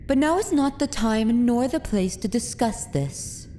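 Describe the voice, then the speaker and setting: A woman's voice: on the high side, animated, measured pace, warm tone, a little hiss or hum. A woman playing a haughty, dominant vampire noblewoman in an ASMR fantasy roleplay, with commanding, archaic formal speech. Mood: commanding, condescending. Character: haughty, dominant aristocratic vampire mistress of a manor.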